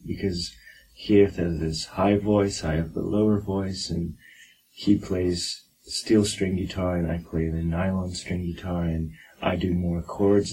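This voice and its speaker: A man and musician calmly explaining ideas in an interview, with filler pauses. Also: deep voice, distant mic, a little hiss or hum, poor audio. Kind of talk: casual interview answers.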